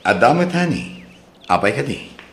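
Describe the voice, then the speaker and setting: A man playing a patient, devoted young husband in an Ilocano radio drama, gentle and pleading, with an Ilocano accent. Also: medium pitch, very animated, normal speed. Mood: gently questioning.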